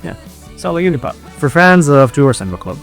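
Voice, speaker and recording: A man's voice: on the high side, big pitch swings, quick pace, faint music behind. A man, an indie band musician, chatting casually and jokingly in an interview. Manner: joking, banter with bandmate.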